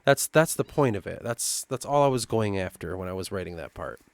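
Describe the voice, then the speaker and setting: A man with a Midwestern accent reading through his own fantasy lore notes and thinking aloud, halting and restarting as he goes. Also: deep voice, lively intonation, clean tone, no echo. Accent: Midwestern American. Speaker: worldbuilding writer.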